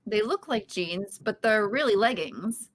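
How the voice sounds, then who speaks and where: A woman's voice: medium pitch, expressive, unhurried. A woman reading the part of a quirky, upbeat young woman at a podcast table read, with a bubbly, playful manner. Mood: coaching.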